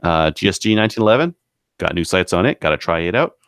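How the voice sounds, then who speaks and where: A man co-hosting a podcast, chatting casually and pausing often mid-thought. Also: deep voice, lively intonation, fairly bright tone, smooth, no room sound, clean studio sound. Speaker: podcast co-host. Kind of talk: casual hobby podcast chat.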